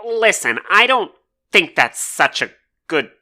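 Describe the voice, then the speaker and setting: A woman playing an unsure, easily flustered musician in an audio drama, speaking in a halting, hesitant way. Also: lowish voice, very animated, sharp tone, heavily acted.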